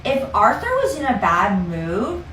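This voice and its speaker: A woman's voice: mid-range voice, big pitch swings, unhurried, a bit bright, a little hiss or hum. A young woman telling a casual personal story in a conversational, self-deprecating way, peppered with "like". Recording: roomy echo, distant from mic.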